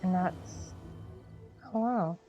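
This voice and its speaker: A woman's voice: on the low side, drawn-out words, mellow, muffled recording, soft background music. A woman livestreaming a video game in a casual style, reading dialogue aloud.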